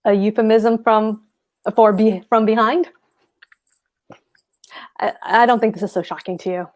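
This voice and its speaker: Woman reading cheeky married landlord guy in a play, highish voice, blunt and smug, always coaxing. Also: fairly even intonation, unhurried, a little mellow, natural voice. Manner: blunt, suggestive, smugly coaxing. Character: brazen, philandering married landlord, a smug man. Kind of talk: play table read.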